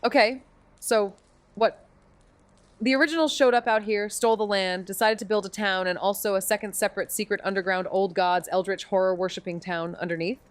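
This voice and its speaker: A woman's voice: mid-range voice, steady tone, a little fast, dry recording, close to an everyday voice. A woman playing a sarcastic young adventurer, flippant and colloquial, in an audio drama. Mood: incredulous. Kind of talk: scripted fiction podcast acting.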